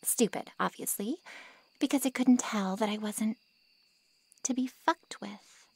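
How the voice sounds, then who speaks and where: A woman playing a haughty, callous ancient vampire in an ASMR roleplay drama, with mocking sarcasm and a breathy drawl. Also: on the high side, unhurried, darkish tone. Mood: cold, disdainful.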